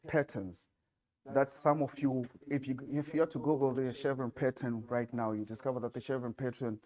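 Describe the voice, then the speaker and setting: A man from Zimbabwe giving a design talk with slides in a lecture hall, speaking haltingly with many fillers and restarts. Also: mid-range voice, fairly even intonation, darkish tone. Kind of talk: presentation with slides.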